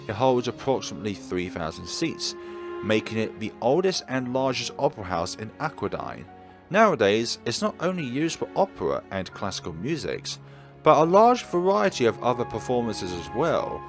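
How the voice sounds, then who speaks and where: A man voicing a friendly young male gondolier giving a tour in a slow, informative way. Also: medium pitch.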